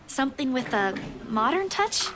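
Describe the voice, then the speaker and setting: A woman's voice: sits high, very animated, normal speed, a crowd in the background. An actress playing a polite, nervous young female estate agent in a visual novel game, hesitant and deferential.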